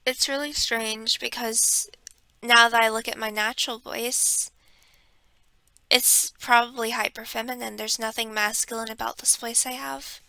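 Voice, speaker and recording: A young woman quietly telling a personal story in a home vlog, keeping her voice hushed, with frequent ums and pauses, close to a desk microphone. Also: fairly high pitch, fairly even intonation, vocal fry, no room sound, rough recording. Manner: hushed and reflective, with many ums. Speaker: vlogger.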